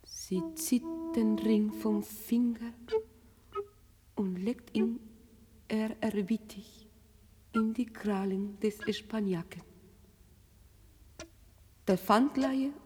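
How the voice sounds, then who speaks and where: A woman narrating a literary radio play, phrasing each line deliberately with pauses. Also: fairly high pitch, darkish tone, slight background noise. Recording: long reverb, music behind.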